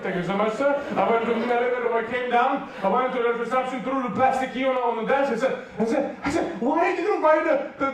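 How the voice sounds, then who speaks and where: A man with a Serbian accent tells comic stories in a sermon, acting out every exchange. His voice sits fairly high, and it is picked up from a distance in a big hall full of echo. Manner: animated comic storytelling, acts out dialogue. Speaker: preacher. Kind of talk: humorous sermon storytelling. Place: large hall with a youth audience. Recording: echoey hall, voice from far off.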